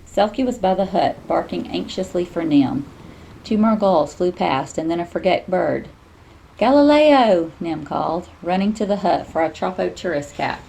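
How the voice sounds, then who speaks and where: A woman reading a children's storybook aloud in an expressive, teacherly way. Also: lowish voice, moderate pace, creaky, a little hiss or hum, poor audio.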